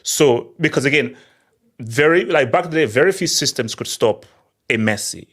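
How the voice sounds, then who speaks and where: A man's voice: mid-range voice, lively intonation, normal speed, a bit bright. A man hosting a casual football discussion, moderating the panel and often repeating words and phrases for emphasis. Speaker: host of a football discussion show.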